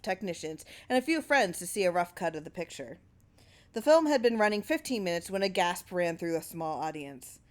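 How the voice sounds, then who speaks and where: A woman reading a short story aloud as scripted narration. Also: on the low side. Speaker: story reader.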